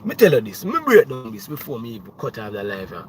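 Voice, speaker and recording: A man's voice: mid-range voice, huge pitch swings, fast. A young Jamaican man, a music producer, talking casually on a livestream, rambling with many restarts and "you know what I mean.".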